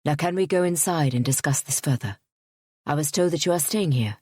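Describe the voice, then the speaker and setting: A woman voicing a pompous, obsessive gentleman suitor in a storybook reading, speaking in a haughty, clipped way. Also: a little high, fairly quick, no room sound, clean studio sound, close to an everyday voice. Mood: curt.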